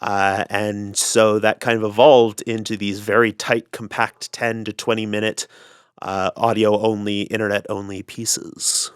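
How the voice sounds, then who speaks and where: A man's voice: on the low side, level tone, a little slow, clean tone, no echo, clean studio sound. A man speaking as an interview guest, explaining a creative collaboration in a conversational way.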